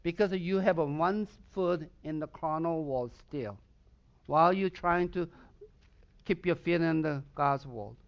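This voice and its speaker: A man's voice: on the high side, measured pace, highs cut off. A pastor from Southern Sweden, a man whose first language is Swedish, preaching a sermon with a Swedish accent and emphatic bursts of intensity.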